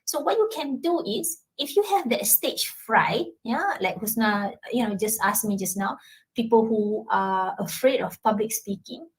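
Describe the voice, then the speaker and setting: A woman's voice: a little high, animated, measured pace, husky, poor audio. Malaysian woman lecturer, checking in constantly. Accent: Malaysian English. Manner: frequent tag questions like "yeah?" and "all right?". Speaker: university lecturer. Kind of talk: answering questions at a talk.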